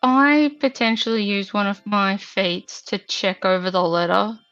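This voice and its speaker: A woman's voice: mid-range voice, steady tone, a little slow, fairly bright tone, no echo, soft background music. A woman playing a tabletop game, asking the game master rambling, tentative questions. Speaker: player. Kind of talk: casual chat at a tabletop game. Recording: dry, slightly dull mic.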